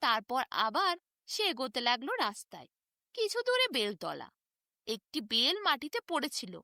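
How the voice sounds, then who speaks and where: A woman narrating a children's fairy tale in an animated storytelling style. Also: sits high, measured pace, no room sound, clean studio sound.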